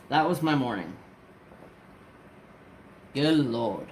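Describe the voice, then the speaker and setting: Slow-talking man in his forties. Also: mid-range voice, very animated, slight background noise, poor audio. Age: 40s. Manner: rambling, chatty storytelling with restarts. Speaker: crafting vlogger. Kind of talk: casual chat while crafting.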